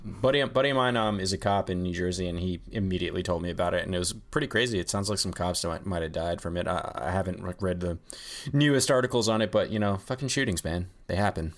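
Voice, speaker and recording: A man co-hosting a podcast in a casual political chat, laid-back and quick to laugh. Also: low voice. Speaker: podcast co-host.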